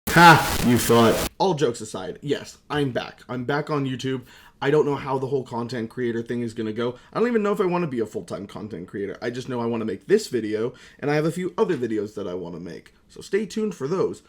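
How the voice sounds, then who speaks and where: A young man talks in a middle-pitched voice, like a YouTuber catching up with viewers. He rambles in a casual, comedic way, mocking himself and swearing freely. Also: very animated, a little fast. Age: young adult. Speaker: YouTuber and amateur voice actor. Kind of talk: casual comedic vlog chat.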